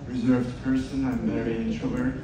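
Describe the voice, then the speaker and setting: A man's voice: mid-range voice, level tone, normal speed. Man in an echoing hall. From Switzerland. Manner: earnest storytelling, direct address to audience. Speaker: speaker at an event, podcast host. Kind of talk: motivational stage talk. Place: large event hall. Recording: distant echoey hall with noise.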